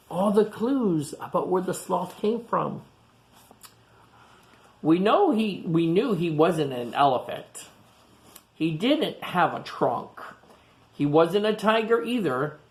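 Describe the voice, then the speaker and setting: A man reading a picture book aloud to young children, pausing for simple explanations and questions. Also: high-pitched, sing-song. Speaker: storytime reader for young children. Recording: echoey room sound.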